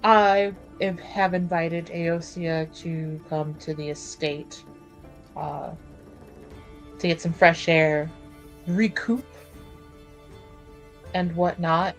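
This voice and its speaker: A woman's voice: fairly low pitch, steady tone, takes it slowly, long pauses, faint music behind. A woman casually talking through events as a player in a tabletop roleplaying game.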